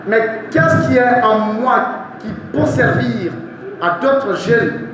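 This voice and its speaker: A young man with a Guinean accent speaks in a high voice as a panel moderator. His delivery is slow, formal and deliberate, addressed to a forum audience. The sound is very echoey, as in a large hall. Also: animated. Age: young adult. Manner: formal, deliberate, repeats phrases for emphasis. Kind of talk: public speech to a forum audience. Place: large echoey room at a live event. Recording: echoey hall, distant mic, faint noise.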